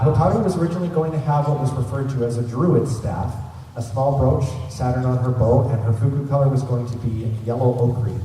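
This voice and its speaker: A man's voice: mid-range voice, warm tone. A man hosting a fan convention panel, talking casually to an audience as he runs trivia. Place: large convention centre room. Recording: echoey hall, distant mic, faint noise.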